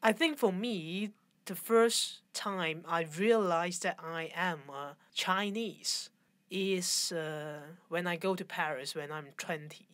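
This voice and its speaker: Low-pitched Hong Kong woman in interview. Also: fairly bright tone, no room sound, clean studio sound. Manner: halting non-native English phrasing. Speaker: interview guest, fashion designer. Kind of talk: conversational interview.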